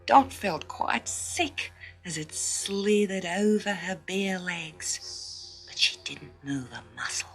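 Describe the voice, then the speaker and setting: A woman reading a children's story as dramatic narration, expressive and full of suspense, often dropping to hushed tones. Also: lowish voice, slow pace, gravelly, husky, soft background music.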